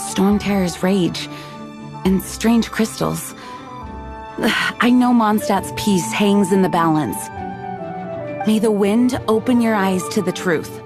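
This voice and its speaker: A woman voicing a dignified, earnest young knight commander in a video game, speaking in a formal, measured way. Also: medium pitch, natural voice. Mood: solemn, grateful. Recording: music behind the voice.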